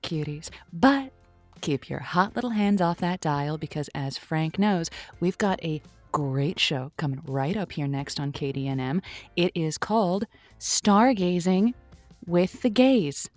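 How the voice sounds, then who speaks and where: A woman's voice: on the low side, animated, unhurried, a little mellow, no echo, soft background music. A woman playing a giddy, lovelorn late-night radio host in an audio drama, flustered and theatrical in manner. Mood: tender, signing off.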